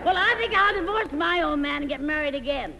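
A woman's voice: high voice, expressive, brisk, creaky. An actress playing a brash, dim older married woman, wisecracking in a 1940s radio comedy. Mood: deadpan wisecracking. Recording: old noisy radio recording.

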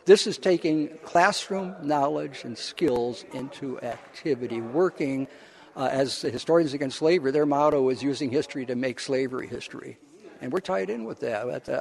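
A male college professor giving informal interview answers, explaining things in a halting, rambling way. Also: mid-range voice, animated, creaky, darkish tone.

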